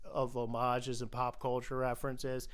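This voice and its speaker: A man's voice: mid-range voice, monotone, measured pace, clear, resonant tone. A man hosting a podcast, talking casually about films as an enthusiastic, opinionated fan. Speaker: podcast host. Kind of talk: casual film discussion.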